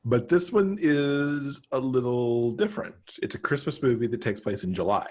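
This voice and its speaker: A man's voice: medium pitch, expressive, normal speed, darkish tone. A middle-aged man, a guest author, talking casually and a little wryly on a video call. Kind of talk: casual chat. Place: indoor room.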